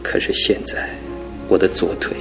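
An actor in a radio drama playing a despairing young man crippled in one leg, his talk swinging from hushed to anguished outbursts. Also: normal speed, soft background music, lightly acted. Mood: remorseful. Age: young adult. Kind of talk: broadcast radio drama acting.

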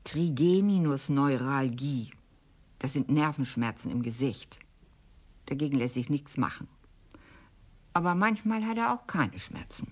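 An actress in a radio crime play, playing the resigned, quiet wife of a policeman who suffers from pain. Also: rumbling low, animated, measured pace, long pauses, heavily acted. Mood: weary. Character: weary middle-aged wife of a sick policeman. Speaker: Frau Oppenheusen.

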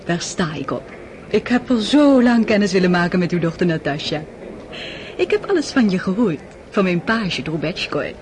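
A worldly society countess, played by an actress, flattering and sly. Her voice swoops lively as she coaxes. Faint hiss sits under the old radio play. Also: lowish voice, normal speed. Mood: coaxing. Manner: honeyed, flattering.

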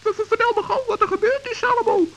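A woman's voice: very high-pitched, steady tone, fast talker. A woman playing a small, anxious but plucky male forest gnome in a children's radio play, exclaiming and prone to stammer. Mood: nervous. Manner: exclaiming, stammering when nervous. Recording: dull, hissy old radio recording.

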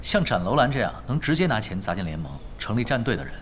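A man's voice: on the low side, very animated, fast, a little hiss or hum. A man playing a laid-back, shrewd gamer in an audio drama, with a teasing, matter-of-fact manner. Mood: casual, analytical. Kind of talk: audio drama acting in dialogue.

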